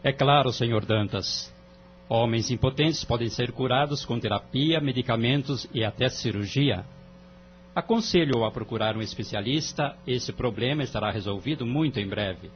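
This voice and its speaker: A Brazilian man playing a calm, wise family-court judge in an audio drama, speaking in a formal, measured, moralizing way. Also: medium pitch, a little hiss or hum, natural voice. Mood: firm, counselling. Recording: dull lo-fi audio, music underneath.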